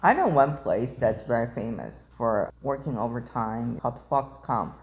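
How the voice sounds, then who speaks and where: A woman's voice: very deep voice, big pitch swings, moderate pace, darkish tone, a little hiss or hum, poor audio. A woman interviewer chatting casually with her guest, asking questions and sharing remarks. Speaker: interviewer, channel host.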